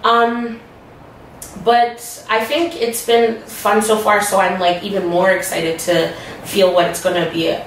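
A woman's voice: mid-range voice, level tone, measured pace. A young woman from the Toronto area hosts a casual group chat in a living room, giggly and chatty, full of "like" and "you know what I mean". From Toronto area, Canada. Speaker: vlog host. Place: dim living room, indoors. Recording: roomy, distant camera audio, faint hiss.

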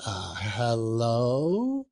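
A man's voice: mid-range voice, wildly swooping pitch, extremely slow, darkish tone, full character voice. A man voicing a cheeky, plain-spoken Victorian boy from the street in a storybook reading, blunt and incredulous. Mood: puzzled.

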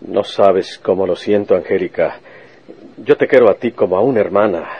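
Deep-voiced, husky rural Mexican detective. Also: male voice, moderate pace, dull audio. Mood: sorrowful, earnest. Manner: folksy country speech full of rustic colloquialisms. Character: stubborn, vengeful rural Mexican detective, blunt and earnest. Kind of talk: Spanish-language radio serial acting.

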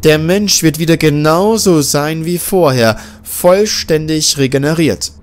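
A man voicing a smug, formal male scientist in a castle in a self-made audiobook, speaking in a measured, condescending way. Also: fairly high pitch, voice pushed up, close to an everyday voice. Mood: lecturing. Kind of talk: audiobook character voice.

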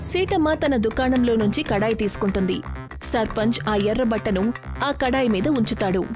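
A woman tells a scripted children's moral tale as narrator. Her pitch is on the high side, and music plays clearly behind her. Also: lively intonation, moderate pace.

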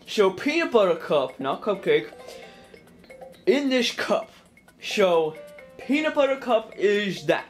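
A woman's voice: mid-range voice, very animated, slow pace, pauses often, soft background music. A young woman casually narrating a plush puppet cooking skit at home, rambling and jokey, correcting herself as she goes. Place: home kitchen and dining room.

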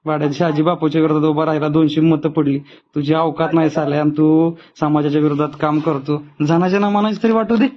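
A man's voice: a little high, a little hiss or hum. A man talking on a recorded phone call in a probing, confrontational way. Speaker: phone caller. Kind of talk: phone conversation.

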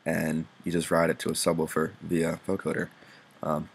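A man's voice: extremely low pitch, completely flat delivery, moderate pace, vocal fry, slightly rough. A young man giving a casual, unscripted tutorial, informal and sweary, poking fun at himself as he explains. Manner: informal, sweary, self-deprecating. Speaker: music production tutorial presenter.

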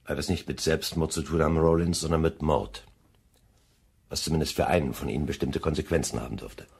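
Raspy, commanding police chief. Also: male voice, a bass voice, a little fast, exaggerated voice. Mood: stern. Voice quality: husky, raspy. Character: stern, commanding police chief questioning murder suspects. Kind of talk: German crime radio play acting.

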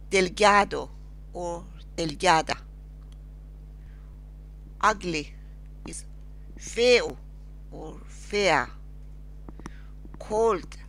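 Very slow, bright-voiced woman teacher. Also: medium pitch, a little hiss or hum, low-quality recording. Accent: Persian. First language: Persian. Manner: slow, deliberate, spells out each word with pauses. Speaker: Spanish teacher. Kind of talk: slow screen-recorded language lesson with repeat-after-me drills.

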